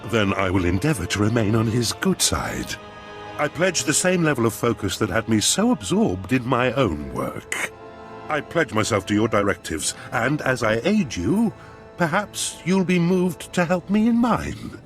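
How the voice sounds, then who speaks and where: A haughty game-villain duke with a lively, swooping delivery. His speech is formal and pompous, that of a slippery, scheming courtier. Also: mid-range voice, unhurried, faint music behind. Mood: ingratiating. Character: haughty, scheming male noble Sith duke. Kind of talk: video game cutscene acting.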